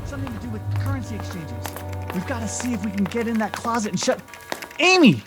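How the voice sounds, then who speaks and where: An actor playing an excitable, nervous tech colleague in an audio drama, flustered and jumpy. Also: medium pitch, fast talker, over music, natural voice. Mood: panicked.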